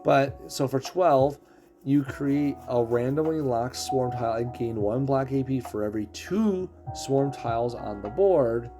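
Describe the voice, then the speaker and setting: A man casually explaining a game character's abilities, throat rough as he is losing his voice. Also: mid-range voice, unhurried, music in the background. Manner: explanatory, walks through ability text. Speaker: game streamer.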